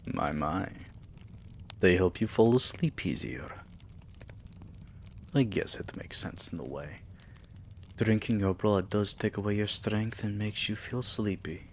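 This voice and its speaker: A man playing a tender, possessive vampire king in an intimate roleplay ASMR, speaking softly and breathily with courtly endearments and often dropping into a whisper. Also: lowish voice, a little slow, pauses often, a little hiss or hum. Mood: reassuring, affectionate. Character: tender, possessive male vampire king doting on his human pet.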